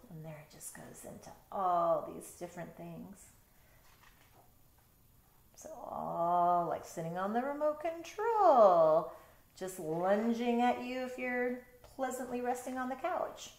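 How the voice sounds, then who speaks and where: A middle-aged woman reading a picture book aloud with casual asides, expressive and explaining things to young listeners. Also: medium pitch. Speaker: storytime reader, teacher. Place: bedroom, indoors. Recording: roomy bedroom sound.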